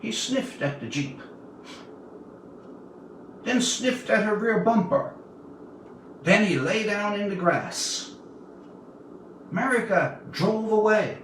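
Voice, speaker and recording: A man of about seventy from Newfoundland, Canada, reading his own tale aloud as a storyteller, with deliberate, dramatic pauses. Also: sits high, a bit bright. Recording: roomy, distant mic, faint background noise.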